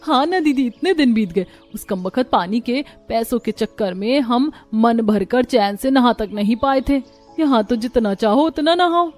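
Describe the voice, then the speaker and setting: A woman acts a young village daughter-in-law in a cartoon story. The voice is fairly high, chatty and expressive, over soft background music. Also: normal speed, natural voice. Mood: eager. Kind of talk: Hindi cartoon story dub.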